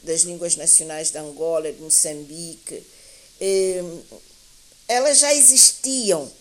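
A woman speaking as a guest teacher in a panel discussion, explaining in a deliberate, academic way and often correcting herself as she goes. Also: sits low. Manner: deliberate, academic, hesitant with repeated self-corrections. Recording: clear background hiss.

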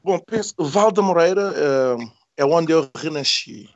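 A man's voice: on the high side, animated, measured pace, dry recording, muffled recording. A middle-aged man from Senegal, speaking as an interview guest, telling personal stories in a casual, reflective, hesitant way that repeats phrases. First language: not Portuguese.

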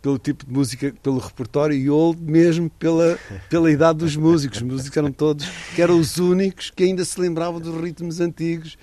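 A man's voice: on the high side, fairly even intonation, fairly quick, few pauses, warm tone. A middle-aged man, an author and former correspondent, talking in a radio interview in a thoughtful, digressive way with many restarts.

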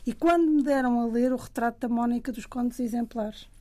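A woman's voice: on the high side, a little fast, dark tone. A woman writer with a European Portuguese accent, chatting reflectively and self-deprecatingly, often restarting her sentences. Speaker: writer and panel guest. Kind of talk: casual conversation.

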